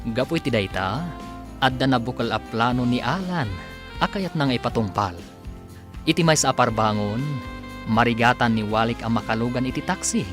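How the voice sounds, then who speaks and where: A man's voice: medium pitch, normal speed, long pauses. A man narrating an Ilocano radio drama as its announcer, reading from a script. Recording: music behind the narration.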